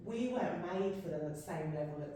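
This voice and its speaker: A woman in a casual discussion, earnestly building a steady argument. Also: fairly low pitch, a little fast, recorded from a distance. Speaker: discussion participant. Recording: echoey room, off-mic, faint noise.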